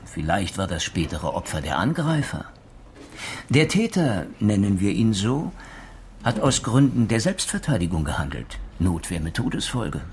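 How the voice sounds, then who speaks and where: An actor playing a strict, exacting police chief with a dryly ironic, lecturing manner in a radio crime drama. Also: male voice, on the low side, sing-song, moderate pace, slight background noise. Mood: probing, dry. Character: stern, exacting male police chief leading a murder team.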